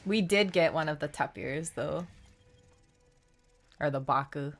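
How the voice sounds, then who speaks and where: A woman's voice: low-pitched, a little slow. A woman game streamer chatting casually on a livestream in an informal, rambling, giggly way. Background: faint game music.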